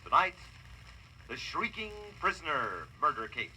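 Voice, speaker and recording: Very bright, forceful male radio announcer. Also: a little high, sing-song, measured pace, slight background noise, low-quality recording. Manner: solemn, theatrical. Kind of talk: dramatic scripted 1940s radio narration. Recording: old radio broadcast with music.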